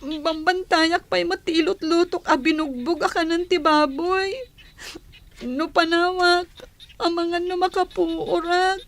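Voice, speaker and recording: A woman's voice: extremely high pitch, steady tone, a little slow. A woman playing a lonely, dutiful schoolgirl in a radio drama, with an Ilocano Filipino accent. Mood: hurt. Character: lonely, dutiful schoolgirl granddaughter longing for family.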